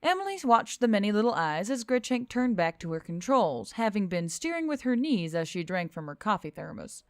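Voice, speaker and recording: A woman reading scripted fiction as an expressive story narrator. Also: medium pitch, brisk, no room sound, studio quality. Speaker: audiobook narrator.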